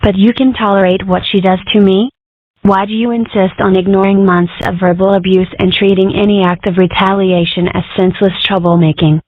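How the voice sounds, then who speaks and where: A woman's voice: mid-range voice, level tone, a little fast, no room sound, close to an everyday voice. A sarcastic, rebellious young schoolgirl from a comic-strip cartoon , voiced by a woman. The sound is dry and squeezed into a narrow, phone-like band. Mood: indignant. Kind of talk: scripted comic-strip dub.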